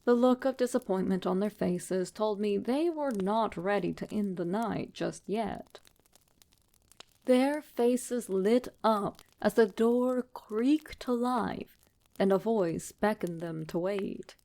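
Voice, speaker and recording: A woman reading a scripted horror story as a narrator, in a measured, dramatic manner. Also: mid-range voice, vocal fry, mellow.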